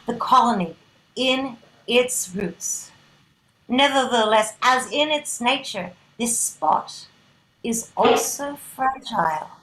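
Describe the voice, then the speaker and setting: Woman narrating a play, very slow n dramatic, short deliberate phrases, bit of noise behind. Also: on the high side, lively intonation, a bit bright, poor audio.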